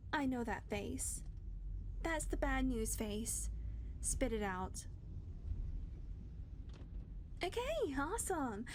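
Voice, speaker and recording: A woman voicing a blunt, foul-mouthed female vampire in an audio roleplay, talking sarcastically and dropping into soft murmurs. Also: high-pitched, a little hiss or hum, exaggerated voice. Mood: exasperated.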